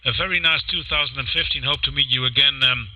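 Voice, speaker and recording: A man on a ham radio contact, his voice very bright and sharp in tone. He talks haltingly, stopping often to search for words and restarting his sentences. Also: mid-range voice, rough recording. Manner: halting, many fillers and restarts. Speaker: amateur radio operator.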